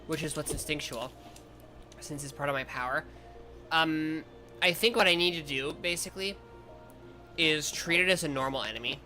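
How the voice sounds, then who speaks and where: A man streaming a game, chatting casually in a rambling, self-correcting way, with sudden loud outbursts at the game. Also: on the high side, normal speed, pauses often. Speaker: vtuber streamer. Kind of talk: casual gameplay chat. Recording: game music playing behind.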